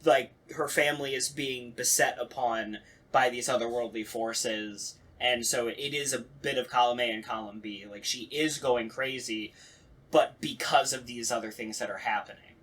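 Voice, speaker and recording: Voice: medium pitch, steady tone, moderate pace, fairly bright tone. Casual, joking podcast co-host, mid-range voice. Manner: joking, mock-serious.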